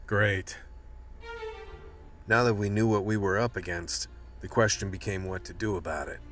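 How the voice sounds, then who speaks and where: An actor playing a tough, world-weary crew leader in a radio drama, blunt, terse and streetwise. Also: male voice, lowish voice, steady tone, moderate pace, fairly bright tone, soft background music, close to an everyday voice. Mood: grim narrating. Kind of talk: radio drama acting with noir narration.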